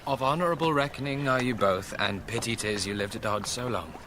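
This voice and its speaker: A man's voice: mid-range voice, a little fast, background noise, close to an everyday voice. A man playing a courteous, eager noble suitor in a Shakespearean audio drama, speaking politely and formally. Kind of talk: Shakespearean full-cast audio drama acting.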